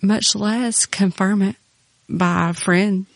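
A woman's voice: medium pitch, fairly even intonation, slow, creaky, no room sound. A middle-aged woman on a podcast with her husband, speaking earnestly and reflectively with many "you know"s. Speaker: podcast co-host, pastor's wife. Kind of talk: casual faith conversation.

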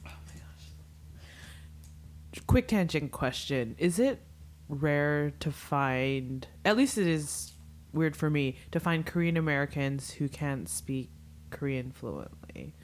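A woman's voice: sits low, expressive, takes it slowly, long pauses. A woman co-hosting a podcast, asking a guest chatty, curious questions and laughing easily. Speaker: podcast co-host. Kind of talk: casual podcast interview.

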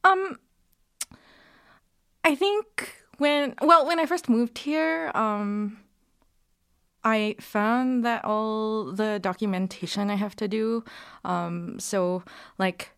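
Woman, thirties, interview guest, hesitant with many ums, clear resonant voice. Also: a little high, fairly even intonation, slow, pauses often, fairly bright tone. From Singapore. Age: 30s. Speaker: guest playwright and actor. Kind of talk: casual interview answers.

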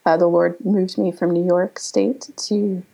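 A woman in her thirties, originally from Pennsylvania, speaks as a podcast guest, pausing and circling back as she reflects. Her voice is husky with a gravelly fry, and her pitch stays quite flat. She sits at an ordinary middle pitch for a woman. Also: low-quality recording. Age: 30s. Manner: reflective, halting, many um fillers. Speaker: guest, writer. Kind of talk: casual interview talk.

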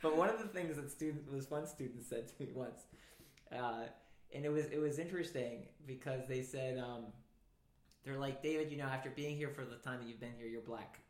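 Host, man, chatty and meandering, mid pitch, roomy sound. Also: a little fast. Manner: rambling, full of "like" and restarts. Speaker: podcast host. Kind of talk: casual conversation. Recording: roomy echo.

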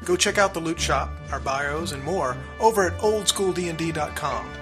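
A man's voice: on the high side, moderate pace. A man hosting a podcast, reading scripted outro announcements in an upbeat, announcer-like way. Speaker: podcast host. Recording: music playing behind. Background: music.

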